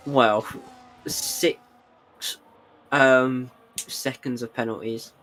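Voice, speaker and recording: Casting: tired, throaty commentator, dry wit, mid-range voice, sim racing broadcast. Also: male voice, medium pitch, expressive, drawn-out words, fairly bright tone, rough recording. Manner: dry, wry asides between race calls. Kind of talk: live esports race commentary.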